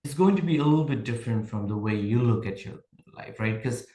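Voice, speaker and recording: A man's voice: medium pitch, animated, brisk, mellow, echoey room. A man from Nepal, a podcast guest reflecting on leadership and telling workplace stories in casual conversation. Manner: reflective, tells workplace stories, often checks in with "right?".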